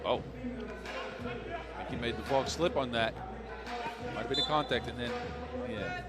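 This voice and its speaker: A man calls a basketball game in English. His voice sits in an ordinary middle pitch. His delivery is relaxed and chatty, and it rises when the big shots fall. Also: measured pace, a loud crowd. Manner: conversational, excitable on big shots. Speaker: play-by-play commentator. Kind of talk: live basketball commentary.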